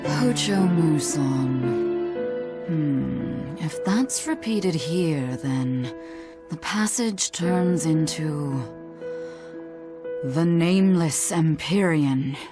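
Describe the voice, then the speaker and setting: A wry old woman of learning, talks in a low voice and takes her time. She muses and teases like a knowing teacher in a fantasy game, with music behind her. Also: expressive, heavily acted. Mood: musing. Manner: teasing, deliberate, musing. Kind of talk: video game cutscene voice acting.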